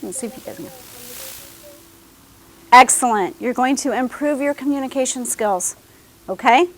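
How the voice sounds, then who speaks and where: A woman's voice: high-pitched, moderate pace, poor audio. A woman giving a career services presentation to a class of students, talking to them directly and often checking in with "okay?". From American South.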